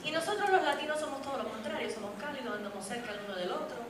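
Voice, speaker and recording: A young woman giving a lively, humorous church testimony and speaking directly to the congregation. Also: sits high, very fast. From raised in Puerto Rico. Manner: lively storytelling, addresses the audience directly, with humor. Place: church hall. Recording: echoey hall, distant mic, noisy.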